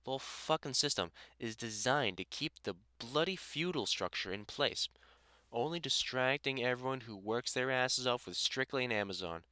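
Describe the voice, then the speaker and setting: A man's voice: medium pitch, expressive, moderate pace, bright, forceful tone, no echo. A man playing a middle-aged British vampire hunter and carpenter in an intimate audio roleplay, blunt, sweary and teasingly affectionate. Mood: scornful, amused. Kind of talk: audio roleplay acting, intimate one-sided conversation.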